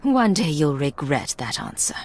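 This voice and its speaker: A determined imperial spy in a video game. Her voice sits low for a woman, and she speaks formally and firmly, with tight control. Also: big pitch swings, moderate pace. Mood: controlled, firm. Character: composed, determined female imperial secret agent.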